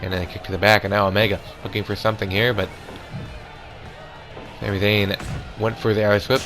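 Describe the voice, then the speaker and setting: A man doing hoarse, excitable play-by-play commentary on a wrestling match, calling moves and near-falls. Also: fairly low pitch, a little fast, soft background music. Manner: excitable, calls moves and counts.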